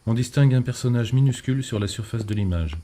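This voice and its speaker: A man's voice: medium pitch, fast, warm tone. A man reading a scripted, factual description of an image. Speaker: photo describer.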